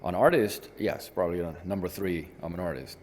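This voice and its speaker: A man's voice: low-pitched, a little fast, darkish tone, slight background noise. A middle-aged man from northern Italy, an artist being interviewed, answering in a reflective way with frequent "you know" asides. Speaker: guest artist. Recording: reverberant hall with faint ambience.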